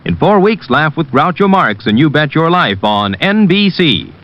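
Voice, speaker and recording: Radio network promo man, old style, lively mid voice, muffled. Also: a little high, normal speed. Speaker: network announcer. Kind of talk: scripted 1950s radio promo. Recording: muffled vintage radio recording.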